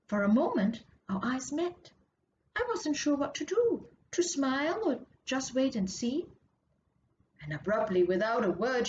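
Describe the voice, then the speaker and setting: A woman reading a story excerpt aloud with expressive, measured and dramatic narration. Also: high-pitched. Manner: measured, dramatic, drops to hushed tones for suspense. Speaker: author reading their own story. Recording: muffled audio with clear background noise.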